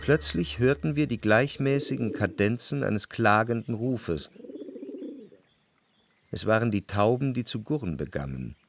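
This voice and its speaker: An actor playing an anxious, jealous lover who recalls his life in measured, introspective radio drama narration. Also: medium pitch, lively intonation, a little hiss or hum. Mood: brooding, introspective. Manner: measured, introspective, literary phrasing. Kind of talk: literary radio drama narration with quiet dialogue.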